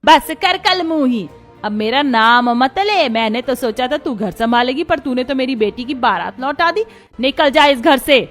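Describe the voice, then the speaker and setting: A woman plays a venomous, scheming mother-in-law in a moral story drama. Her voice is very high and theatrical, manipulative in manner, with music underneath. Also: expressive, measured pace, fairly bright tone. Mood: furious.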